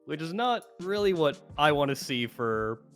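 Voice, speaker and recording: A man's voice: fairly high pitch, sing-song, normal speed, soft background music. A man giving casual gaming commentary as a guest, explaining his opinions at length.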